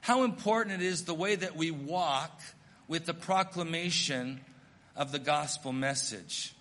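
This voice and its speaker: A man's voice: fairly high pitch, unhurried, a bit bright. Earnest preaching man in a hall. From United States. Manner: earnest, self-deprecating storytelling. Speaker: missionary pastor. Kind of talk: sermon.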